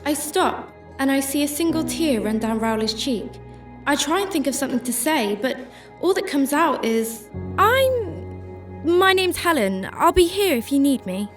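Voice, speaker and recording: A woman's voice: high voice, animated, measured pace, far from the mic. A woman playing a compassionate, troubled homeless shelter volunteer, narrating and speaking in a radio drama. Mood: warm, tentative. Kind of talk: first-person radio drama narration and dialogue. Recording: roomy sound with music behind.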